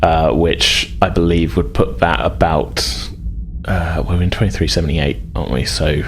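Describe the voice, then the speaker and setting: Low-voiced man narrating a tabletop game. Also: moderate pace, a little hiss or hum. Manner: conversational, descriptive. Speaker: game master.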